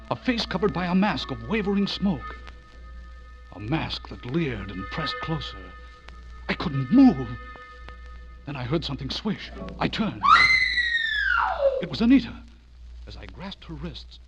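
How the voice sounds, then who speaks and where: An actor playing an earnest, rattled man who narrates his own horror story in a theatrical, suspenseful radio drama style. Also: medium pitch. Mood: terrified, urgent. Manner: theatrical, intense, suspenseful delivery. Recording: muffled radio drama over loud music.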